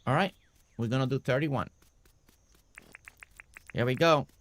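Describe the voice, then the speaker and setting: A man's voice: medium pitch, unhurried, a bit bright. A man streaming a building game, chatting casually with viewers by name and a little congested. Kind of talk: casual live-stream chat while building.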